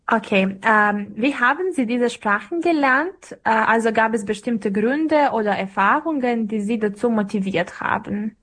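A woman's voice: a little high, measured pace, few pauses, a bit bright. A young woman working as an interviewer, putting prepared questions to a guest.

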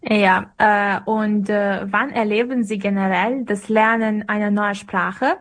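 Young female interviewer, medium pitch. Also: fairly even intonation, takes it slowly. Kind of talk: prepared interview questions.

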